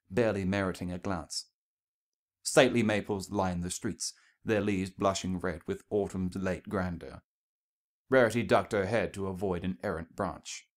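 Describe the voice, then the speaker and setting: A man reading scripted fiction aloud as a story narrator. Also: sits low.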